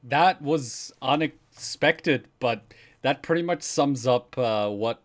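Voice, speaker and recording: A man's voice: medium pitch, slow pace, slight background noise. An enthusiastic man hosts a reaction stream in a mid-range voice. He chats informally about what he is watching and swears casually as he goes. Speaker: host of a reaction livestream. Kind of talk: casual commentary and chat.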